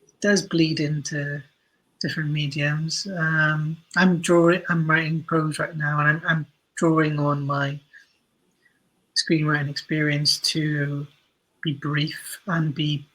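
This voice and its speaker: A man speaking casually as an interview guest, explaining things with animated, stumbling self-corrections. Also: a little high, slow pace, rough recording. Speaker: interview guest, audio drama writer and director.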